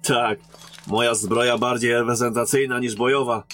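An actor playing a courteous royal emissary, a captain, in a fantasy audio drama, speaking formally and earnestly. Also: male voice, mid-range voice, fairly even intonation, moderate pace, fairly bright tone, faint music behind, close to an everyday voice. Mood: calm, concerned.

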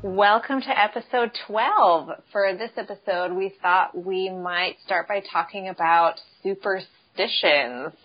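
Husky woman podcast host, kinda low, joking around, slightly muffled audio. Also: measured pace, hardly any pauses, faint music behind. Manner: playful, jokey questions. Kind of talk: casual chat.

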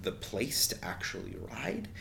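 A man's voice: fairly low pitch, sing-song, measured pace, creaky, sharp tone, a little hiss or hum. A man from Montreal with a Canadian accent, a guest explaining his urban consulting work in an earnest, explanatory way.

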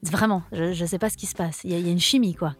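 A woman's voice: fairly low pitch, fairly even intonation, rapid-fire, no room sound, soft background music. A young woman, a singer-songwriter, answering interview questions in a casual, hesitant way with many restarts. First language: French.

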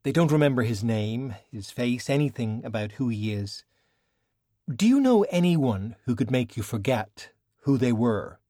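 A man's voice: medium pitch, expressive, a little slow, mellow, no room sound, clean studio sound, voice pushed up. Man doing a plain-spoken, wry young woman. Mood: frank, questioning.

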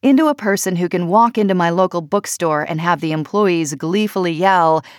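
A woman narrates a personal essay for an audiobook. Her voice sits a little low. She phrases each line in a measured but expressive way, in a dry, echo-free recording.

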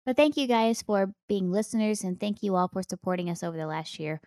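A woman's voice: mid-range voice, lively intonation, brisk, warm tone, no room sound, clean studio sound. A young woman co-hosting a board game podcast, chatting in a teasing, playful way. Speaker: podcast co-host. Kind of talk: casual podcast chat.

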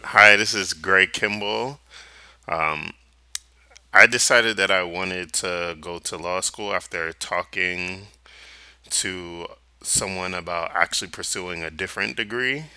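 Deep-voiced young man, calm and clear. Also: slow pace, dry recording. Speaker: law student. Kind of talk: podcast self-introduction.

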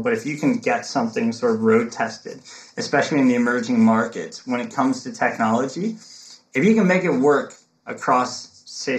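A man with a mid-range voice speaks as a guest in an interview. He explains ideas in an animated, conversational way, peppering them with "look" and "right?". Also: medium pitch, low-quality recording. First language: English. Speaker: guest, technology consultant.

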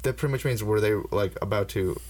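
A man's voice: on the low side, quick pace, darkish tone, slight background noise. A man in his early twenties hosting a casual podcast, rambling with fillers and swearing. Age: early 20s. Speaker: podcast host.

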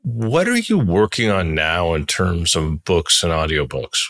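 A man with a low-pitched voice hosts a relaxed-format interview. He talks conversationally and a little haltingly, piecing his thoughts together as he goes. The recording is dry, without audible room. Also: gravelly, no echo. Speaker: podcast host, interviewer.

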